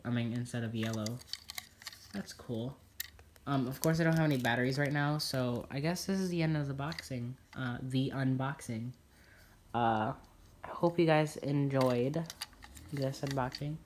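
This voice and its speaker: A young man with a stuffy, congested nose talks his way through a toy unboxing at a table at home. His chatter is loose and rambling, full of ums and side remarks, at a middling pitch. Crinkling packaging and clicks sit clearly behind his voice on the phone recording. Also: noisy background. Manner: chatty, rambling, many fillers. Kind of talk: casual unboxing commentary. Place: indoors at a table in a home room.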